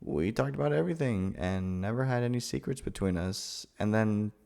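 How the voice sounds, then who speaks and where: A man's voice: lowish voice, normal speed, gravelly, darkish tone. A young man playing a nervous, sweet, awkward roommate in an ASMR roleplay, stammering and hesitant. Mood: fond, reminiscing. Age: young adult. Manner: flustered, stammering, hesitant, sighing. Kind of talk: ASMR boyfriend roleplay, acted monologue.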